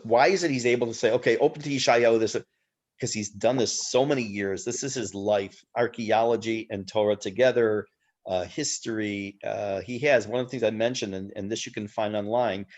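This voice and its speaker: A man hosting and teaching a Torah class, talking casually and thanking his guest effusively with many fillers. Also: on the low side.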